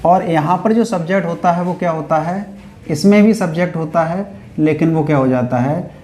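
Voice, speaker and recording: A man's voice: fairly high pitch, moderate pace, a little mellow. A man with a North Indian accent teaching grammar step by step, repeating key points and answering his own questions. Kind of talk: classroom lesson, explaining step by step. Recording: echoey room with faint background noise.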